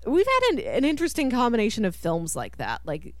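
Lady podcast host chatting movies, really lively up and down voice, kinda high, dry sound. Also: brisk, dry recording. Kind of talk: casual film discussion.

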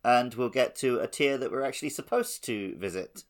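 A man's voice: medium pitch, moderate pace. A man hosting a podcast in casual conversation, with a playful, wry manner. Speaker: podcast host. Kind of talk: casual chat.

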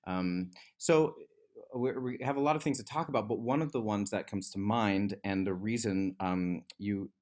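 A man hosting a podcast interview, enthusiastic but halting, with many fillers. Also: fairly low pitch, a little mellow. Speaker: podcast host, dance instructor.

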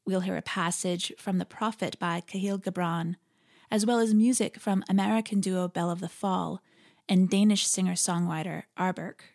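Lower-pitched woman with a creaky voice. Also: steady tone, moderate pace, darkish tone. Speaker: podcast host; interfaith leader. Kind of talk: reflective scripted podcast monologue.

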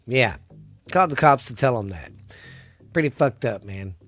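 A man's voice: medium pitch, lively intonation, normal speed, fairly bright tone, dry recording, soft background music. A man with a Texan accent hosting his own podcast in a laid-back, rambling way, swearing freely and sounding stuffy and congested. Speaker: podcast host. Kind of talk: casual solo podcast chat.

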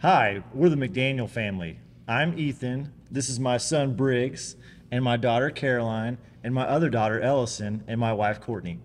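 A man's voice: mid-range voice, moderate pace. A man speaking casually as a father and guest in family talk. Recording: reverberant room with background noise.

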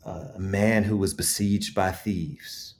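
A man's voice: low-pitched, fairly even intonation, slow, gravelly, a little mellow. A man speaking as an interview guest, reflective and eloquent, with emphatic, preacherly storytelling and rhetorical repetition. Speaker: guest author.

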